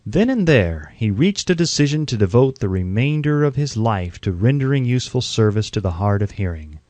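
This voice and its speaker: A man reading an audiobook from a script in a measured, formal, earnest way, close to the microphone. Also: medium pitch, a little mellow, no echo. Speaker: audiobook narrator.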